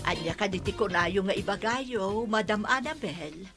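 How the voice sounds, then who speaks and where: A woman with an Ilocano Filipino accent playing a forgiving older household nanny with a creaky, husky voice in a radio drama. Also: medium pitch, animated, normal speed, over loud music, lightly acted. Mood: humble, forgiving.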